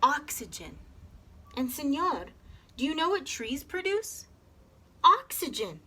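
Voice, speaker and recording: A woman's voice: high voice, sing-song, slow pace, pushed and bright, voice pushed up, heavily acted. A woman voicing an earnest storybook porcupine, questioning and lecturing emphatically. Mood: urgent. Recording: clear background noise.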